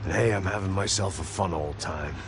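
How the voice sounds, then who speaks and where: A man's voice: deep voice, fairly quick, noisy background. An actor playing a world-weary male ex-cop bodyguard in a video game, terse and sardonic. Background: music score.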